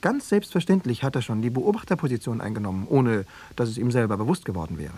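A man's voice: mid-range voice, lively intonation, fast talker, mellow, slightly rough. An actor playing a cool, pedantic male East secret police analyst, lecturing in in a self-assured way. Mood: self-satisfied analysis. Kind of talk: radio play dialogue.

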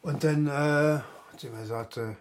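An actor playing a stubborn, frail older man with a Berlin accent, rambling and repeating himself haltingly in a radio drama. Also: mid-range voice, dark tone, slight background noise, lightly acted. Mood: wistful, halting. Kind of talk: naturalistic radio drama dialogue.

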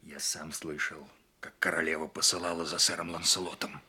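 An actor playing a spiteful, scheming knight with a gravelly, sharp voice in a theatrical radio play. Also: male voice, extremely low pitch, little pitch movement, moderate pace, echoey room, full character voice. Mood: conspiratorial. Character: spiteful scheming male knight, hateful conspirator.

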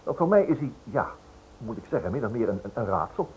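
A man's voice: fairly low pitch, very animated, fast, a little mellow, dull audio. An actor playing a polite, inquisitive male writer who stammers hesitantly over his words in a Dutch radio drama. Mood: insistent, curious. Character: inquisitive, hesitant writer probing a criminal case. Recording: noisy old radio drama recording.

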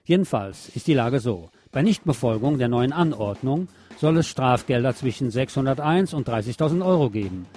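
A man's voice: medium pitch, expressive, moderate pace, hardly any pauses, warm tone, soft background music. A man presenting scripted local news on the radio, reading as a narrator of reports.

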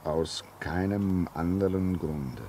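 A male actor playing a lonely, fearful and suspicious railway signalman in a German radio play, brooding and halting with sudden intensity. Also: deep voice, dark tone, a little hiss or hum.

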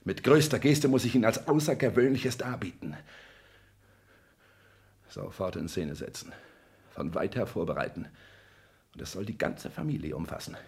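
A deep, very husky pompous social climber in an old German radio play. He is anxious and scheming, declaiming theatrically with a heavy creak in the voice. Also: darkish tone, full character voice. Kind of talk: 1960s German radio drama acting.

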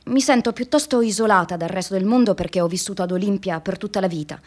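A woman's voice: mid-range voice, close to an everyday voice. An actress playing an earnest, reflective young woman activist in an intimate, confessional radio theatre monologue.

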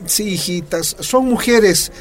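A man's voice: sits high, takes it slowly, faint music behind. An actor playing a loving, stubborn Bolivian union man and father, reasoning tenderly in a radio soap opera. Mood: tender, reassuring.

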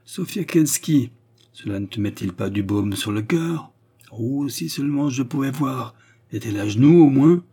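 A man's voice: mid-range voice, lively intonation, normal speed, dark tone, husky, pitched above the performer's own voice. A man voicing a blind, brutal commissar in a story, vengeful, domineering and exclamatory, with sneers and rage. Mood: sneering.

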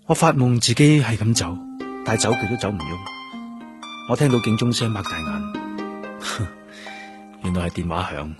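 A man plays a weary police detective in a radio play, his voice on the low side. He is introspective and understated but determined, with clear music behind him. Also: animated, normal speed, pauses often, close to an everyday voice. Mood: brooding. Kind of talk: radio drama narration and dialogue.

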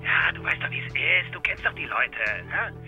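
Fussy worried man, radio drama phone call, very sharp tone, animated pitch, hesitant questions, faint music. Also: normal speed, full character voice. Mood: self-absorbed. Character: fussy, worried boyfriend on the telephone.

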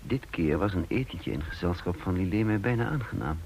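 An actor playing a calculating double agent who poses as a counterintelligence chief, measured and probing in a radio play. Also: male voice, deep voice, animated, gravelly, a little mellow. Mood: reflective, guarded. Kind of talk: Dutch radio play acting and first-person narration. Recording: noisy old radio play recording.

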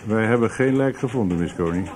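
A man playing a radio-play police inspector with a fairly low voice. He questions brusquely and sardonically, dry and unimpressed. A faint noise sits behind the recording. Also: quick pace, close to an everyday voice. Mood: dry, sceptical. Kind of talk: Dutch radio play acting.

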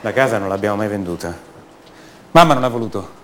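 Actor as a bitter, restless son, noisy background. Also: male voice, lowish voice, natural voice. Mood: nostalgic, musing. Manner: halting, repeating words, confiding in the listeners. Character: bitter, restless grown son back in his empty family home. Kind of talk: stage-play monologue acted for radio theatre.

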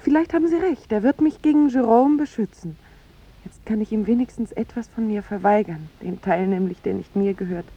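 A woman's voice: medium pitch, fairly quick, breathy edge. An actress playing a charming, proud and tender young woman in a 1950s radio drama, with formal, delicate, theatrical phrasing. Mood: wounded, resolute. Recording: dull 1950s radio recording.

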